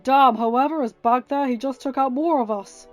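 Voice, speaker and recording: A woman's voice: sits high, normal speed, faint music behind, pitched above the performer's own voice, natural voice. A woman voicing a loyal male soldier pilot under fire, calling out urgently in a space story. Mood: urgent, strained.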